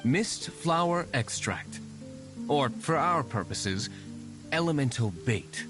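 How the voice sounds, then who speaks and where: A composed young aristocrat explains his plans in a formal, measured manner, his mid-range voice moving expressively through each line. Faint game music plays behind him. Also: mid-range voice. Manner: formal, measured, calmly authoritative. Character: composed, aristocratic young male winery owner. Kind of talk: game cutscene voice acting.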